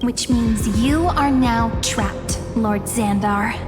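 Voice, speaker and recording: An actress playing a playful, teasing young sprite companion in a video game. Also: female voice, on the high side, takes it slowly, big-room sound, music in the background. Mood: teasing, firm. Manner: teasing, light-hearted.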